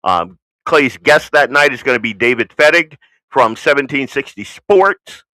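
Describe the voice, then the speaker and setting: The blunt, folksy man you would hear hosting an evening political show from a dry, close studio, bright and punchy, his American accent flattened from Southern roots. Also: mid-range voice, unhurried, no room sound, rough recording. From United States. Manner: opinionated, blunt, folksy, rambling asides. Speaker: talk show host. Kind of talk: unscripted political talk show monologue.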